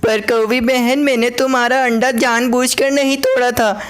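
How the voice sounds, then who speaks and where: A woman voicing a defensive, excuse-making female bulbul bird in a children's cartoon, in a high-strung, protesting manner. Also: steady tone, moderate pace, lightly acted. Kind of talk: children's cartoon voice acting in Hindi.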